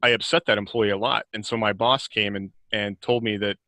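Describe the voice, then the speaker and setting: Male, middle-aged, low voice, very dry recording; casual author interview, reflective and meandering. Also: fairly even intonation, a little fast, pushed and bright, no echo. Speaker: author and podcast guest. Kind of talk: casual reflective conversation about writing and life.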